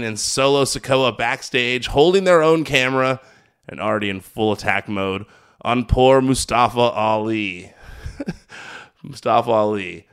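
A man hosting a wrestling podcast, talking in an energetic, hyped-up, chatty way while recovering from being sick. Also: medium pitch, clear, resonant tone. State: recovering from illness. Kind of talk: casual podcast recap.

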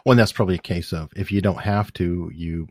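A male host speaks in a fairly low voice with little room sound. He sounds curious and thinks out loud while putting questions in a conversational style. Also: fairly even intonation, fast, no echo. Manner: curious, musing. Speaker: interview host. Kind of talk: conversational interview.

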